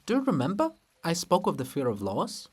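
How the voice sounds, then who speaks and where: A man's voice: a little high, huge pitch swings, fairly quick, breathy edge, heavily acted. A man voicing a bold, sly, devoted sister in a theatrical, teasing, insistent stage reading. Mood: sly, challenging. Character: Sister Lybid, bold, sly, devoted female river spirit.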